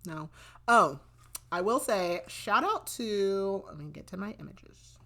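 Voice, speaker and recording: A woman's voice: mid-range voice, expressive, takes it slowly, sharp tone. A woman co-hosting a book podcast in casual chat, laughing often and trailing off mid-sentence. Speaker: podcast co-host.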